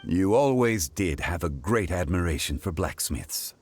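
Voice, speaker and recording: Ageless ex-god gentleman in a game, low voice, calm n formal, soft music under. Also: unhurried. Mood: calm, composed. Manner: composed, formal, stately. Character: ageless former god in human form, calm, dignified gentleman. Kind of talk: video game voice acting. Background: faint music.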